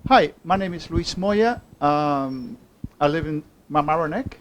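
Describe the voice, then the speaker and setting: A man from Cuba with a Cuban accent informally presenting his own artwork in a halting, hesitant way. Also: on the high side, expressive, a little hiss or hum. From Havana, Cuba. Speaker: artist.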